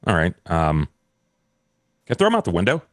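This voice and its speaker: A man's voice: sits low, wildly swooping pitch, brisk, no room sound, clean studio sound. A man talking casually as a player in a tabletop role-playing game, working out his character's actions.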